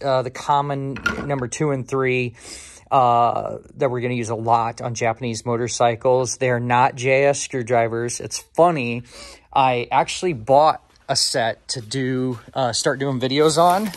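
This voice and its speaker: An American man with a mid-pitched voice hosts a casual workshop video as a mechanic. He talks to the camera in an informal, chatty style, rambling from point to point as he goes through his gear. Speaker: motorcycle mechanic and channel host. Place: motorcycle repair garage workshop, indoors.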